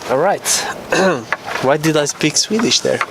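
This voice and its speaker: Brief: casual vlogger fixing his car outside, a bit high-pitched, crude and chatty. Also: lively intonation, unhurried, creaky. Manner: casual, swears freely, talks to himself. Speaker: vlogger doing car repairs. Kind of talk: casual running commentary. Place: outdoors beside a car. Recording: loud outdoor noise.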